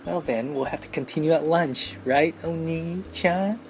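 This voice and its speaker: A man's voice: fairly high pitch, unhurried, a little hiss or hum, pitched above the performer's own voice, natural voice. A man voicing a bossy, teasing teenage little sister from an anime visual novel, lecturing and scolding. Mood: teasing, sweet.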